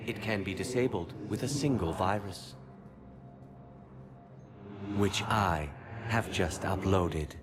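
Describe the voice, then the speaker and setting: An actor playing a calm, zealous alien diplomat in a video game, speaking in formal, measured phrases. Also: male voice, low voice, over music. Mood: cold zeal. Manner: formal, measured, fanatical certainty.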